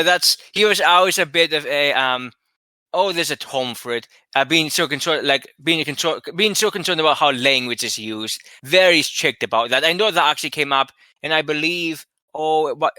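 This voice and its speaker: A man co-hosting a casual chat, lively and animated, often restarting while he searches for words. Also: a little high, normal speed, clear, resonant tone, no echo. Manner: animated, rising into excited retelling. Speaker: podcast co-host.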